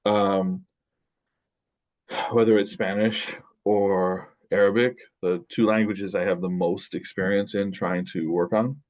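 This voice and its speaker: American man, very low voice, rambling lecture, lots of fillers and restarts, desk webcam recording. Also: steady tone, slow, darkish tone. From United States. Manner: loose, rambling delivery with many fillers and restarts. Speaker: college linguistics instructor. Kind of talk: recorded lecture over screen share. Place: indoor room at a desk.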